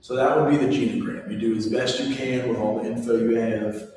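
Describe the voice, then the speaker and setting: Man in his forties, casual instructor with personal asides, booming echo, mic far away. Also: fairly even intonation, brisk, darkish tone, a little hiss or hum. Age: 40s. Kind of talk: casual classroom lecture. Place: classroom. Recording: echoey hall recorded from far off.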